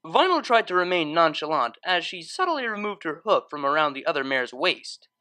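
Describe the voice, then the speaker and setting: A woman reading scripted fiction as narrator in an expressive storytelling manner. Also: deep voice, moderate pace.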